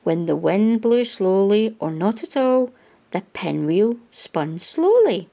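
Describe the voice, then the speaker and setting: Woman reading a picture book, unhurried, expressive. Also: mid-range voice, creaky. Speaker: children's storytime host reading aloud. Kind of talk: children's picture book read-aloud.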